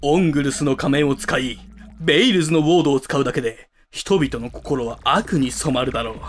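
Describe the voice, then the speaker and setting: A man's voice: mid-range voice, brisk, slight background noise, close to an everyday voice. Melodramatic, fanatical club president villain, acted by a man. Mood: menacing. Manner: grandiose, melodramatic. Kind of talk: amateur voice drama acting.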